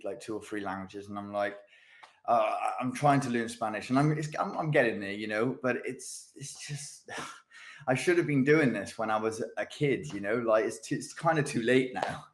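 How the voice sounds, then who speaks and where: A man's voice: on the low side, moderate pace. A man hosting a casual podcast interview, talking in a chatty, rambling way with lots of fillers and restarts. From United Kingdom. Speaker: podcast host.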